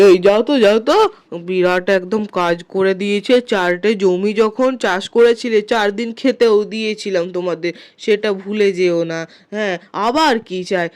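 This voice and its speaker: A woman voicing a cunning, miserly male village landlord in a cartoon, talking in a smug, gruff, dismissive way. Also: medium pitch, darkish tone, natural voice.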